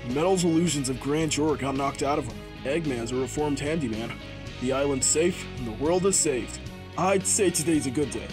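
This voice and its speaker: A man's voice: mid-range voice, moderate pace, lightly acted. A man voicing a cocky, upbeat young hedgehog hero in a comic dub, breezy and teasing. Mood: upbeat, reassuring. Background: music.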